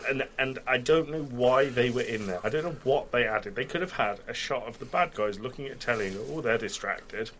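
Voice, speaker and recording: A man's voice: mid-range voice, a little fast, hardly any pauses, a bit bright, a little hiss or hum. A British man chatting casually as a podcast host, giving blunt, critical opinions. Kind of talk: casual chat, opinionated review.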